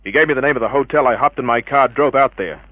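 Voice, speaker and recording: A man acting as a cynical, tough private eye from an old radio serial. He, a mid-pitched voice and a clipped, hard-boiled delivery for both narration and confrontation. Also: quick pace, narrow, tinny audio, natural voice. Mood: matter-of-fact. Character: tough, cynical male private investigator narrating his case. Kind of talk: 1950s radio crime drama narration and dialogue.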